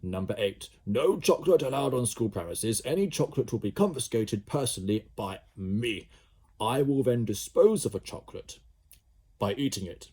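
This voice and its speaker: A man's voice: medium pitch, animated, normal speed, warm tone, breathy edge. A man voicing a bald, pompous older male headmaster who rants and barks out his rules. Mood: ranting. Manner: ranting, barking out rules.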